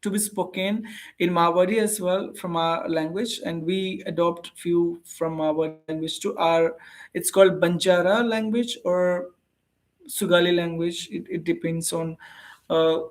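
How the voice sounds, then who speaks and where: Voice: medium pitch, steady tone, a little slow. Indian English accent, native Telugu speaker, tutor, casual conversation, earnest, explanatory, rephrases often. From Andhra Pradesh, India.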